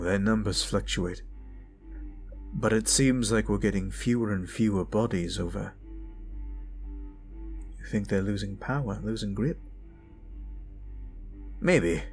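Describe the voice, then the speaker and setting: A man voicing grave fantasy soldiers planning at the frontline in a roleplay audio drama, measured and solemn, with pauses. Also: on the low side, faint music behind. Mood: grave, resolute. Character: grave, deliberate fantasy war commanders at the frontline.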